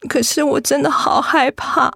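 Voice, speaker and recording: An actress playing a devoted, tender and anxious young adult daughter in a Mandarin radio drama. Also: female voice, sits high, steady tone, moderate pace, creaky, sharp tone, dry recording, soft background music, exaggerated voice. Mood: anxious, sorrowful.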